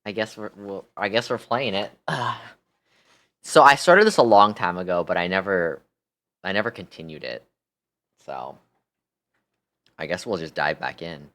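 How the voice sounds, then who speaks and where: A man's voice: sits low, lively intonation, normal speed, long pauses, fairly bright tone. A tired man hosting a livestream, chatting casually and thinking aloud as he goes. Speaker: livestream host.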